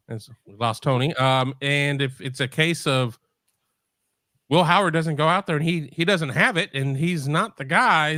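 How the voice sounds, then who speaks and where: A man's voice: mid-range voice, pushed and bright, no echo. A man calling into a sports talk show, earnest, repeating himself as he makes his point. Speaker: caller.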